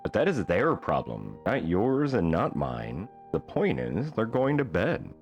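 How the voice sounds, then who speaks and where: A man reading a rhyming children's bedtime book aloud as an expressive storyteller. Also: on the low side, a little slow, dull audio, soft background music.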